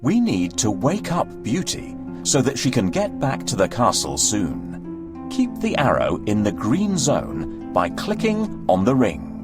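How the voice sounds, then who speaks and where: A man's voice: fairly low pitch, huge pitch swings, a little slow. A man narrating a scripted children's story as a read-aloud storyteller, in a dry recording with music.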